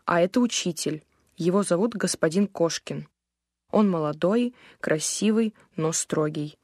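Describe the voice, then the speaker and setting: A woman reading a textbook language lesson aloud, slowly and with careful, clear enunciation. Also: lowish voice, darkish tone, no echo, clean studio sound. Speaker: language course reader.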